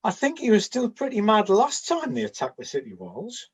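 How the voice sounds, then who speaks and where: An actor playing a bored, vain Trojan soldier who grumbles wistfully in a comic radio play. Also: male voice, high-pitched, huge pitch swings, moderate pace, husky, heavily acted. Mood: wistful grumbling. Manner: grumbling, wistful, droll bantering. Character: bored, vain, plain-looking male Trojan soldier on night watch.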